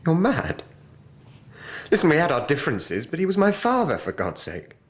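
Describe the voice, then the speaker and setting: An actor playing a defiant, exasperated young murder suspect, emotional and defensive in a radio drama. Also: male voice, on the high side, huge pitch swings, normal speed.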